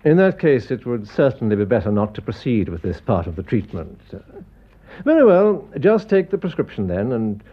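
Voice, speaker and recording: An actor playing a distinguished, controlled surgeon in a radio drama, formal and courteous with an educated English accent. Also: male voice, medium pitch, big pitch swings, husky, muffled recording. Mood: suave, controlled. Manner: measured, polite, professional.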